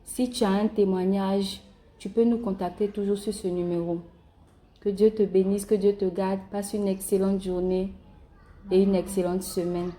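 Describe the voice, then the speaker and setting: A woman's voice: mid-range voice, little pitch movement, moderate pace, dark tone. A woman speaking as a phone evangelist, preaching informally and directly to one listener in an earnest, encouraging way. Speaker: evangelist calling strangers by phone.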